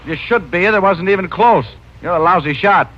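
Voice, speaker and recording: An actor playing a breezy, wry private detective who both talks and narrates in a 1950s radio mystery. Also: male voice, high voice, moderate pace, very noisy, lightly acted. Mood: tough. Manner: wry, casual, hesitating with uh. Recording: muffled old radio recording with music.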